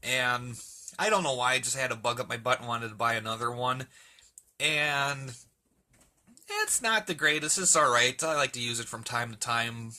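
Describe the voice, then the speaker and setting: A man's voice: medium pitch, normal speed, bright, forceful tone. A man giving a casual, off-the-cuff video tour of a hobby collection, hesitant and searching for words, recorded indoors on a handheld camera's mic. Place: indoor room with shelves of radios. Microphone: handheld camera mic, behind the camera.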